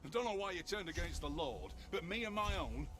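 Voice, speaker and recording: An actor playing a blustery, loyal sergeant in a video game, blunt and indignant. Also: male voice, high-pitched, sing-song, fairly quick, music in the background.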